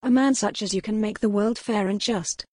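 A woman's voice: medium pitch, moderate pace, darkish tone, husky, no room sound, studio quality, natural voice. A woman voicing a defiant young noble lady who pleads earnestly in formal old-fashioned speech. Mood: impassioned.

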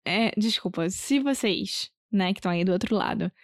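A woman from Brazil co-hosting a casual podcast, chatty and quick to laugh. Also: medium pitch, no echo, clean studio sound. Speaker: podcast co-host. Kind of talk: casual language podcast chat.

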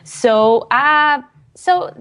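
A woman's voice: sits high, animated, drawn-out words, fairly bright tone. A Brazilian woman, a non-native English speaker with a Brazilian Portuguese accent, answering interview questions in a hesitant, casual way with many fillers. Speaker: guest author.

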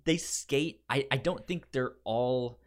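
A man's voice: mid-range voice, slow. A man chatting casually as a podcast host giving recommendations, stuttering and repeating syllables and words as he goes. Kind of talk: casual film chat.

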